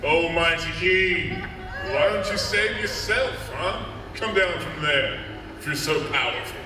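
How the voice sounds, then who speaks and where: An amateur actor playing figures at a crucifixion in a church Easter drama, with a declamatory, drawn-out delivery. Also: male voice, a little high, noisy background, natural voice. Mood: mocking. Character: mocking bystanders and condemned men at a crucifixion. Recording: distant echoey hall under loud music.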